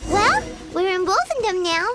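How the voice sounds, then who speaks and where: A woman voicing a small, cheerful, chatty fairy companion in a video game, with a squeaky childlike voice and an inquisitive manner. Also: very animated, moderate pace. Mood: curious, chatty. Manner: chirpy, inquisitive. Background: game music.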